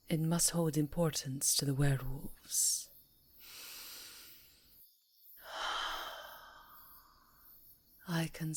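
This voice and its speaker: A woman voicing a haughty, elegant vampire countess in a hushed, theatrical ASMR roleplay. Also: lowish voice, exaggerated voice. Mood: wary. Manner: hushed, breathy, theatrical, slow and deliberate.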